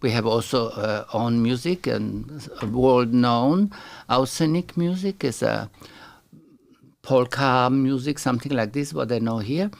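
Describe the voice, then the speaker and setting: A middle-aged man from Slovenia speaks English with a clear Slovenian accent and a gravelly, fry-tinged voice. He is a guest in a relaxed interview and talks in halting second-language English, often pausing to find a word. His pitch sits in a middle range. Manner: halting, searches for English words. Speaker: guest artist. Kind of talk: casual interview chat.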